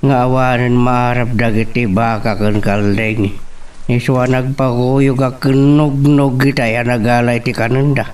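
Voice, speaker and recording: Actor as a slow, distant-sounding Ilocano countryman. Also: medium pitch, fairly even intonation, a little mellow, clean tone, close to an everyday voice. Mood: weary. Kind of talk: Ilocano radio drama acting. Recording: distant mic, faint music.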